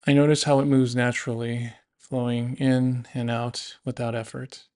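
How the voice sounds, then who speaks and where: Man guiding a meditation, mid-pitched voice. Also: level tone, moderate pace, a little mellow. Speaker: meditation guide. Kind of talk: guided body scan meditation narration.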